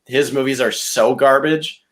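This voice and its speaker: A man talking casually as a podcast contestant, blunt, argumentative and quick to swear. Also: medium pitch, fairly even intonation. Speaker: podcast contestant pitching movie reboots.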